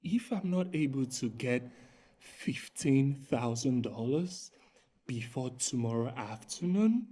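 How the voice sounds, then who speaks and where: A man's voice: high-pitched, expressive, moderate pace. A man playing a scammer who fakes an American voice on a call, begging and stammering. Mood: pleading. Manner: pleading, stammering, hushed. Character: male scammer faking an American accent on a call.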